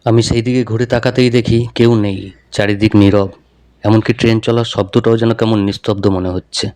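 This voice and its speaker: A man's voice: lowish voice, flat delivery, moderate pace, darkish tone. A man giving a scripted first-person narration of a horror story. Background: music.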